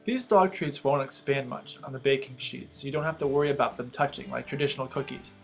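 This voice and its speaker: A man's voice: medium pitch, quick pace, gravelly. A man reading a scripted voice-over in an informative, read-aloud way. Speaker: narrator. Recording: voice-over with faint background guitar.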